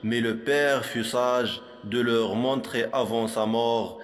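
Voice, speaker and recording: Male reciter for school poetry: unhurried, measured, instructive delivery, mid pitch, big reverberant hall sound. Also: steady tone, soft background music. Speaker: poem reciter for a primary school lesson.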